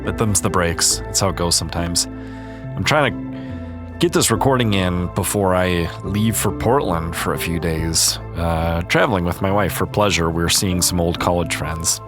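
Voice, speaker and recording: A man hosting a relaxed gaming video, chatting calmly and reading viewer comments, a little stuffy-nosed. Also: low-pitched, normal speed, music in the background. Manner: calm, easygoing, chatty. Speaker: gaming channel host.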